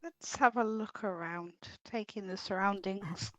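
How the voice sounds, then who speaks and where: A woman's voice: medium pitch, unhurried, dull audio, slight background noise. A woman playing a tabletop roleplaying game, chatting casually and a little hesitantly as a player. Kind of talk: casual chat, light roleplay.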